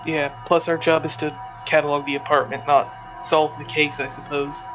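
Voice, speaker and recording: A man's voice: on the high side, level tone, normal speed, poor audio. A man playing a tabletop roleplaying game, chatting casually in character. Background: background music.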